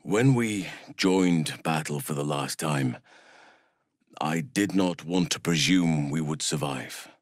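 A male actor playing a grave, earnest elf druid in a video game, speaking solemnly in measured, formal phrases. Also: rumbling low, no room sound, studio quality, exaggerated voice. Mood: solemn, sorrowful. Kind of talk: scripted game voice lines.

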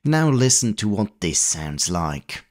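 A man with a somewhat low voice talks through a technical walkthrough in an even, methodical way. He sounds like a hobby channel host reading a prepared voice-over. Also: slow. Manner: calm, methodical explaining. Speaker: retro computing video host. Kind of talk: scripted tutorial voice-over.

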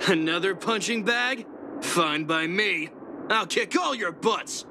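An actor playing an angry, taunting vagrant gang leader in a video game. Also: male voice, high voice, slow, heavy background noise. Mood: mocking, menacing. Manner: taunting, mocking. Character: angry, sneering vagrant thug, ringleader of a gang.

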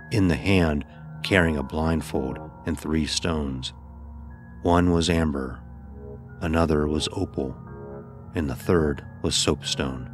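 A man narrating a scripted first-person horror story in a grave, deliberate storytelling manner. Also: a bass voice.

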